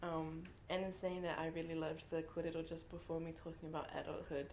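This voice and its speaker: A woman's voice: low voice, little pitch movement, background noise. A young woman from New Zealand with a New Zealand accent, giving a reflective, earnest speech at a book launch. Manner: reflective and earnest, with frequent pauses. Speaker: contributor speaking at a book launch. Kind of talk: heartfelt speech to an audience. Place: event room at a book launch.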